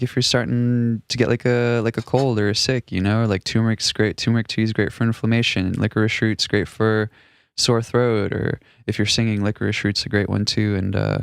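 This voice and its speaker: A young man whose first language was Russian, a DJ guest telling loose stories in a casual podcast chat and acting out quotes with big jumps in pitch. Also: vocal fry, clean tone, no room sound, clean studio sound. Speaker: guest music producer and DJ.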